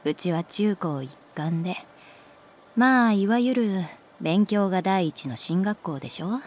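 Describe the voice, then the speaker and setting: A woman voicing a calm, resigned, gently protective art teacher in a Japanese audio drama, speaking in a soft, measured, wistful way. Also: medium pitch, a little hiss or hum, natural voice. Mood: wistful, confessional. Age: adult. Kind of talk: Japanese audio drama acting, intimate dialogue.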